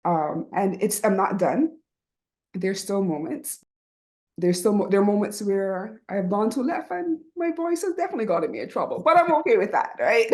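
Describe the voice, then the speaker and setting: A middle-aged woman from Barbados with a Barbadian accent, speaking earnestly and reflectively as a podcast guest, often checking in with "right?". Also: medium pitch. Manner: earnest and reflective, with frequent "right?" and soft laughs. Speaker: guest, writing program founder. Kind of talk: reflective conversational interview.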